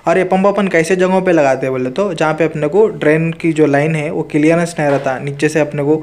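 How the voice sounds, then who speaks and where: A man's voice: a little high, steady tone, brisk, a little mellow. A man, an AC technician walking through a hands-on tutorial in a plain, practical way, filmed on a handheld phone indoors.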